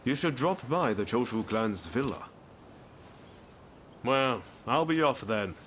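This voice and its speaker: An actor playing an easygoing, friendly samurai rebel in a video game, talking in a casual, conversational way. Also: male voice, mid-range voice, lively intonation, takes it slowly, fairly bright tone, a little hiss or hum. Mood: reflective, reassuring. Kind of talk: video game cutscene acting.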